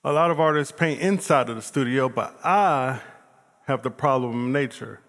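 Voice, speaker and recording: A man playing an earnest, nature-loving painter in a stage play monologue, speaking slowly and deliberately. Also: medium pitch, a bit bright, close to an everyday voice. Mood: earnest, reverent. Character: Vincent van Gogh, earnest nature-loving male painter. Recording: echoey stage hall from afar.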